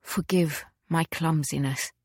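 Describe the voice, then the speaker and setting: A courteous, earnest English gentleman, played by a female narrator in an audiobook. He speaks smoothly and politely. The sound is dry and close. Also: sits low, fairly even intonation, slow pace, no echo, heavily acted. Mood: gentle, sorry.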